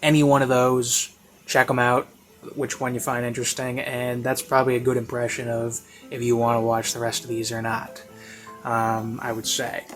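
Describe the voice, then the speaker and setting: A man's voice: mid-range voice, monotone, fairly bright tone. Hesitant man rambling over classical music. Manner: hesitant, halting, thinking aloud. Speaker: reviewer of audio series. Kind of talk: casual rambling spoken review. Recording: classical music playing behind.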